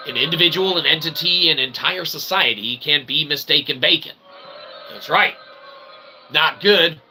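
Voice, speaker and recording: A man's voice: on the high side, moderate pace, pushed and bright, low-quality recording. A man hosting a livestream, giving a rambling philosophical monologue in wordy, convoluted phrasing with frequent tag questions. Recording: clear background noise.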